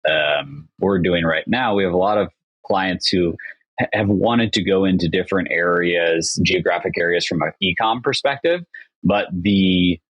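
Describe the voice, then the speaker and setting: Deep husky-voiced man. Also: moderate pace, no room sound, dull audio. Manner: upbeat, enthusiastic, talks in flowing sentences. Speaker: agency founder, podcast guest. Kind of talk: casual interview chat.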